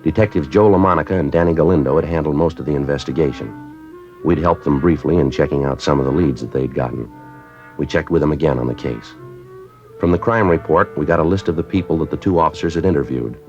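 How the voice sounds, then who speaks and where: A no-nonsense police detective from an old radio crime drama, rattling off facts in a very deep, brisk, clipped monotone. The broadcast sounds muffled and dated, with faint music under the narration. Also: male voice. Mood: flat. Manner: clipped, flat, businesslike delivery. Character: terse, matter-of-fact male police detective narrator. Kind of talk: 1950s radio drama acting.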